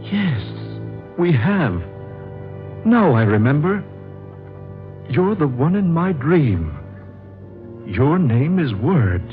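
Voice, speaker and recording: A man playing an earnest traveler telling his story with theatrical, measured delivery in 1940s radio drama style. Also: fairly high pitch, big pitch swings, exaggerated voice. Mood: awed. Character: earnest, awed male traveler and storyteller, weary pilgrim. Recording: muffled vintage radio recording with music.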